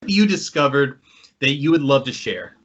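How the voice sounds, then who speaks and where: A man's voice: mid-range voice, big pitch swings, moderate pace. A man co-hosting a podcast, chatting casually and putting questions to a guest. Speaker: podcast co-host. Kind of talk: casual chat and interviewing. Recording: dull lo-fi audio.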